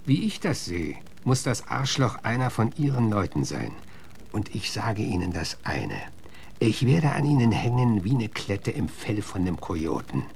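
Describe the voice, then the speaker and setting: A man's voice: lowish voice, sing-song, moderate pace, gravelly, a little mellow, slight background noise. A man playing a blunt, suspicious American marshal in a German radio drama, firing curt, coarse questions. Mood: threatening. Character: gruff, blunt, suspicious male US marshal interrogating suspects.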